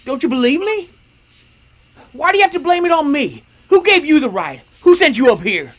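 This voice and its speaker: A woman's voice: sits high, sing-song, moderate pace. A woman playing a desperate young accused rancher in a radio western, urgent and imploring. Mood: indignant. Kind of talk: old-time radio drama acting.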